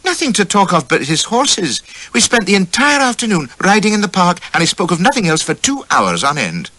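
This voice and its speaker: Audiobook role: excitable young upper-class English wife, high and bright, squealing and gushing. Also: male voice, a little fast, no room sound, heavily acted. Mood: airy. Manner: gushing, exclamatory.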